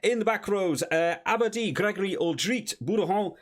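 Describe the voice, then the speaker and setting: A man's voice: high-pitched, moderate pace. Upbeat man hosting a rugby chat. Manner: upbeat, chatty, running through names in a list. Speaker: rugby channel host. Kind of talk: casual sports commentary to camera.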